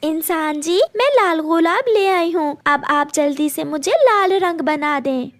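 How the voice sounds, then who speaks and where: A woman's voice: very high-pitched, unhurried, no echo. A woman voicing an eager, caring little female bird in a children's cartoon story. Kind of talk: cartoon storybook character acting.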